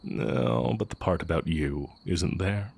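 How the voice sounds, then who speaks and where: A man playing a tender, sly heretic mage and former teacher in a romantic audio roleplay, speaking in measured, formal phrases. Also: sits low, gravelly, darkish tone, slightly rough, heavily acted. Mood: confiding, earnest. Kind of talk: romantic audio roleplay, intimate scripted acting.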